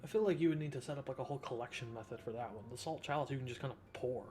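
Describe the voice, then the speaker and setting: A man's voice: mid-range voice, rapid-fire, darkish tone, slight background noise. Casual, wry male game streamer. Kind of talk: casual gameplay commentary.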